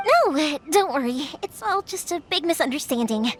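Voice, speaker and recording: A woman's voice: sits high, normal speed, faint music behind. A woman voicing a cheerful, caring young fireworks maker in a game cutscene. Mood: reassuring. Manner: lively, caring, determined. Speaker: main character.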